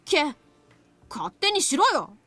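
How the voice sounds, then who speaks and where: Brusque, high-voiced sulky boy. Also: very animated, normal speed, fairly bright tone. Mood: sulky, annoyed. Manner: brusque, rough-spoken. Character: sulky, grumbling, rude young boy. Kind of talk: Japanese anime visual novel acting.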